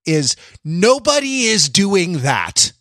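Halting man with a dry, close sound. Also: sits high, sing-song, fairly bright tone, no echo. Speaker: podcast host. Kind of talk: casual discussion.

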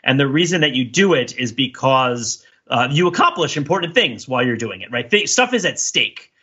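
A man with a husky voice hosting a podcast, casually analyzing things in a discursive way and often checking in with a "right?". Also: mid-range voice, sing-song, normal speed, sharp tone. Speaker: podcast host. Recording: slightly dull, lo-fi sound.

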